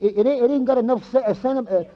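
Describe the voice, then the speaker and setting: Bumbling dim sidekick, heavy comic stutter, high pitch, halting, timid, lo-fi vintage radio. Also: male voice, very noisy. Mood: tongue-tied. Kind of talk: 1940s radio comedy acting. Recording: muffled vintage 1940s radio broadcast.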